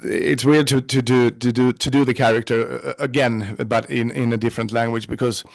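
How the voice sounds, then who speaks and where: A male actor from Sweden with a Swedish accent, answering interview questions in a halting way with many restarts. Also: medium pitch, steady tone, creaky. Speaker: actor guest.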